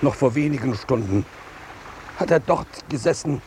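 An actor playing a solemn, suspicious soldier with formal diction in a 1950s German radio play. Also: male voice, medium pitch, animated, moderate pace, a little mellow, a little hiss or hum, natural voice.

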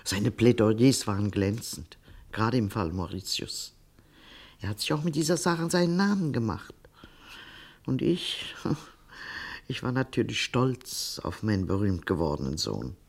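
An old grandmother in a German radio drama, with a very lively, swinging intonation. She is fond yet discreet, and muses hesitantly as she searches her memory. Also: rumbling low, unhurried, husky, full character voice. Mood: reminiscing. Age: older. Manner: hesitant, musing, trailing into recollection. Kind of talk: German radio play acting.

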